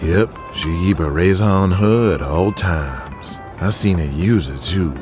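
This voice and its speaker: A man voicing a huge, laid-back wrestler in an audio drama, with a low, relaxed drawl. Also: measured pace, over music. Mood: easygoing.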